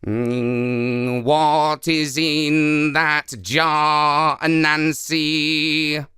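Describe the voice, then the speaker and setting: A man voicing a proud, angry swarm of little hornets in a children's folktale, with buzzing, drawn-out words. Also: a little high, little pitch movement, bright, forceful tone, smooth, dry recording, clean studio sound, full character voice. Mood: indignant.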